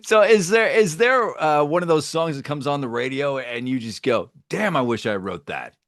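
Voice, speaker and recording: A man's voice: fairly high pitch, expressive, normal speed, a bit bright, no echo, studio quality. A man hosting a casual rock music interview, speaking informally and affirming his guest as he asks questions. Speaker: interview host.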